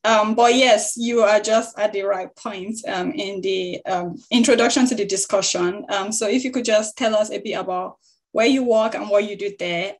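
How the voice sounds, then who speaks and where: A woman moderating an online panel discussion over a video call. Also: medium pitch. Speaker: panel chair.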